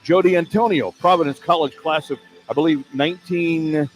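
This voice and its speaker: A man's voice: a little high, moderate pace, soft background music. An American man doing live play-by-play broadcast commentary for radio as an announcer. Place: indoor sports arena. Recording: noisy live arena broadcast. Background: arena ambience and noise.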